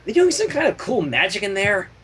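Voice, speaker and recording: A man's voice: sits high, wildly swooping pitch, a little fast, fairly bright tone, husky, pitched above the performer's own voice, heavily acted. A man voicing a brash, tomboyish female pegasus pony who scolds youngsters, on a noisy home recording. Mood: scolding.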